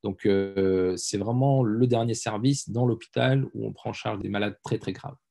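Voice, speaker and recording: A man's voice: lowish voice, moderate pace, vocal fry, dark tone. A middle-aged man, a doctor talking as a guest in a casual interview, hesitant with many fillers and repeated words. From France. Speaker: guest, intensive care doctor.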